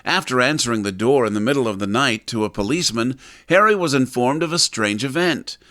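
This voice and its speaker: A man's voice: medium pitch, lively intonation, fairly quick, fairly bright tone, close to an everyday voice. A man giving scripted narration as the narrator of an audio drama. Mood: brisk, informative. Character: male narrator recapping a domestic audio drama.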